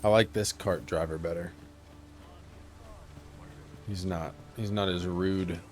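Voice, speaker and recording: Gravelly-voiced man chatting over gameplay. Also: low voice, steady tone, takes it slowly. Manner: rambling, hesitant, with many fillers. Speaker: streamer. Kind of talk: casual gameplay commentary.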